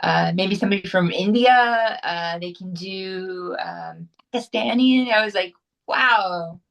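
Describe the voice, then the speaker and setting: A woman's voice: medium pitch, a little slow, vocal fry, a bit bright. A woman actress chatting as a guest in a casual interview, upbeat and talkative, often restarting her sentences.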